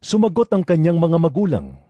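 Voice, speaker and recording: Mellow, fairly high-voiced male narrator. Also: wildly swooping pitch, brisk, dry recording. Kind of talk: scripted scripture narration.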